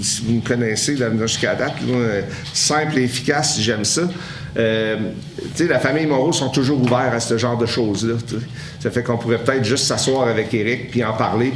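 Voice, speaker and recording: A man with a Québécois French accent leads a council meeting, answering citizens with halting, restart-filled talk. His voice sits in a medium range. The room adds a noticeable echo with light background noise. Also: medium pitch. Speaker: mayor chairing a council meeting. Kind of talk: meeting question period. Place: municipal council chamber.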